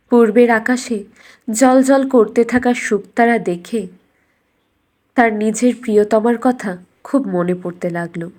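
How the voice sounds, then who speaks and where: A woman narrates a scripted fairy tale as its storyteller. Her voice sits fairly high. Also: a little mellow.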